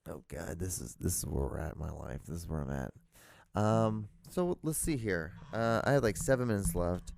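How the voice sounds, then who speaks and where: Low-voiced loud guy rambling on his solo podcast, lots of ums and likes, dry close sound. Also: lively intonation, normal speed, vocal fry, no room sound. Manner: rambling, lots of fillers and restarts. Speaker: podcast host. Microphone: handheld digital recorder.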